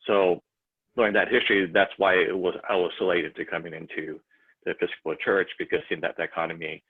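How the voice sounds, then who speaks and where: A man's voice: low voice, flat delivery, quick pace, slightly rough. A man, a church member, talking thoughtfully in a rambling way with restarts, as a guest on a video call. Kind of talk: casual chat. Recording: tinny phone-like call audio.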